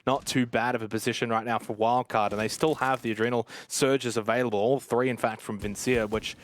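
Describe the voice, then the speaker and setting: A man's voice: medium pitch, steady tone, a little fast. A man giving chatty, explanatory color commentary on an esports match, talking straight to the viewers. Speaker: esports analyst.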